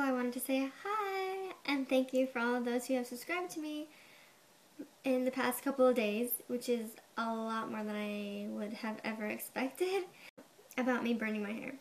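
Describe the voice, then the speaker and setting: A young woman vlogging to her webcam from her bedroom, with a high, girlish voice, chatty and a bit defensive, saying "like" a lot. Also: slight background noise. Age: young adult. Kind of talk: casual to-camera explanation. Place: bedroom, indoors. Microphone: webcam at desk.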